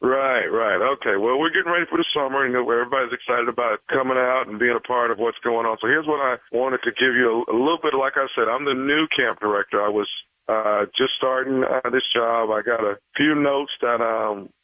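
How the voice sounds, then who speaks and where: A man's voice: mid-range voice, no room sound, lightly acted. Man posing as a hesitant camp director, phone line. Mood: polite, hesitant. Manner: halting, stammering, evasive, placating. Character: hesitant, polite male summer cheer camp director. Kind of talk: prank phone call. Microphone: telephone.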